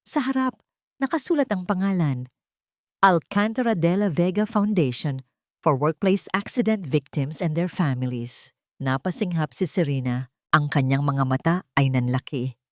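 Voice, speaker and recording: A woman narrating a scripted romance story in a measured, dramatic storytelling way. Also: low voice, lively intonation, no echo, studio quality.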